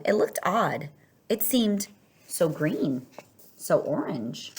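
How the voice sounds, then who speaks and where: A woman's voice: fairly low pitch, husky. A woman reading a children's picture book aloud, telling it expressively and stretching words for emphasis. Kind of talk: read-aloud children's story narration.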